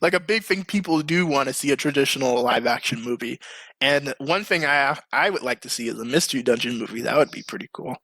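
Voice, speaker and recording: A man's voice: medium pitch, fairly quick, a bit bright, no room sound, poor audio. A man hosting a podcast in casual, slangy, informal chat. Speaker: podcast host.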